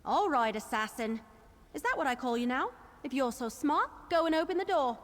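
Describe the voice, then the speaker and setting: An actress playing a spirited, impatient young wood elf adventurer in a fantasy game, with a pert, emphatic delivery. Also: female voice, sits high, expressive, moderate pace, a bit bright. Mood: teasing, impatient. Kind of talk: scripted fantasy game acting. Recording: long reverb, faint noise.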